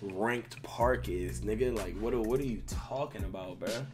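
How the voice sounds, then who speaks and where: A man's voice: fairly low pitch, normal speed. Heavily swearing man ranting over music. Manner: slangy, swearing constantly, emphatic ranting. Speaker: gaming video creator and streamer. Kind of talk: casual profane video commentary. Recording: music playing behind voice.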